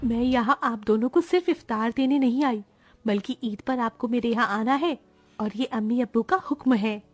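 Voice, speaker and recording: A woman voicing a caring young woman of a family in a cartoon story, earnest and expressive. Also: fairly high pitch, moderate pace, soft background music, close to an everyday voice. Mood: caring, insistent. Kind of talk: Hindi cartoon story voice acting.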